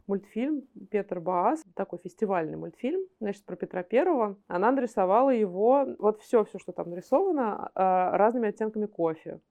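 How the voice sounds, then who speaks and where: A woman's voice: medium pitch, dark tone, highs cut off. A woman speaking conversationally as a studio guest in an interview about her creative work. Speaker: animation studio guest, screenwriter and editor.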